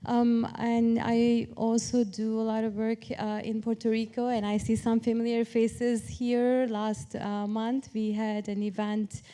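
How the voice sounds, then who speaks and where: A woman's voice: fairly high pitch, little pitch movement, a little slow, few pauses, warm tone, smooth. A woman from Turkey speaking as an academic on a panel, explaining her approach in an informal, structured way. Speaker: academic panelist, professor of public policy.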